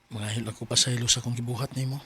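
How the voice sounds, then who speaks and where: A man's voice: mid-range voice, level tone, very fast, a bit bright, husky. An actor playing a remorseful young gambler pleading with his former partner, with a Cebuano accent, in a radio drama. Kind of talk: Filipino radio drama acting.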